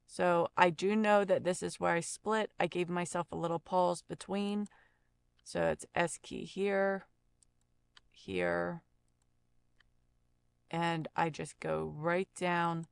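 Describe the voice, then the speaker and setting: Female software instructor, unhurried and plainspoken, casual step-by-step delivery, very dry close recording. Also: on the low side, level tone, creaky, clean tone, dry recording. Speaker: tutorial instructor and voice actor.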